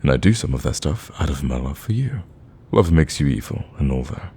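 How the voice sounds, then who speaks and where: A man playing a lovestruck villain in an ASMR romance roleplay, awkward yet cocky, speaking softly and intimately with hesitant stammers. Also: rumbling low, lively intonation, fairly quick, breathy edge, full character voice. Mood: devoted, tender.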